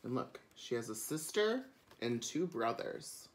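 A man's voice: medium pitch, very animated, measured pace. A man, a drag queen, reading a picture book aloud expressively for children, with chatty asides. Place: indoors before a curtain.

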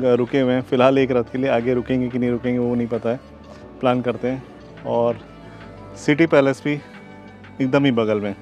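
A man's voice: medium pitch, dark tone. A man in his forties talking to the camera on a travel vlog, chatty and giving tips, recorded outdoors on a lapel mic. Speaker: travel vlogger. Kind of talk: casual travel vlog narration. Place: outdoors on city streets and a rooftop. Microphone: clip-on lapel mic.

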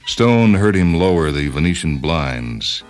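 A man's voice: rumbling low, sing-song, unhurried. A man reading a short story aloud as a scripted, dramatic narration. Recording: music bed under the voice. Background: music.

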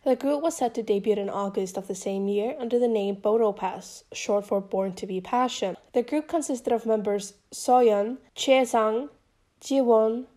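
A woman's voice: on the high side, dark tone. A young woman YouTuber narrating a scripted commentary in a casual, chatty way, her voice still a bit off from being sick.

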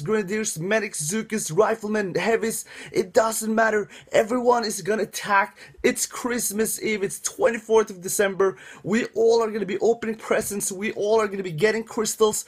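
A young man giving excited gameplay commentary from home, calling out to his viewers, his voice going hoarse. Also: high voice. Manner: upbeat, calls out to viewers a lot. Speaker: mobile game youtuber. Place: indoors at home.

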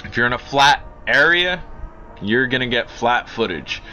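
A man's voice: mid-range voice, animated, normal speed, sharp tone. An American man giving casual, enthusiastic drone review commentary in an informal way, repeating himself for emphasis. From Pennsylvania, United States. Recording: dull lo-fi audio with faint noise.

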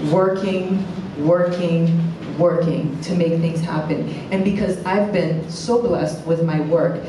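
A woman in her thirties from Cape Verde speaking emphatically as a conference panelist. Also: on the low side. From born in Praia, Cape Verde. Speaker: panelist, singer and DJ. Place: stage in a conference hall. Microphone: handheld microphone, recorded by audience camera. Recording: echoey hall from far, noisy.

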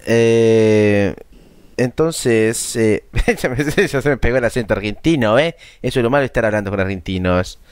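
A man's voice: on the low side, normal speed, clean tone, dry recording. Chatty Colombian man narrating gameplay. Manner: chatty, joking, addresses viewers as buddies. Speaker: gaming YouTuber. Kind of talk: casual gameplay commentary.

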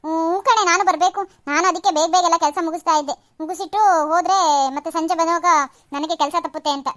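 A woman's voice: extremely high pitch, fairly even intonation, quick pace, a bit bright. A woman voicing a chatty village woman talking about farm work. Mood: friendly. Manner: rustic village speech.

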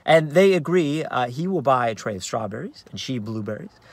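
A man in his thirties with an American accent, an actor reading a play as conversational, halting narration with uneven emphasis, recorded inside a car. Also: medium pitch, expressive, no echo. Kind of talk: dramatic narration of a play read on book. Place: inside a parked car in daylight.

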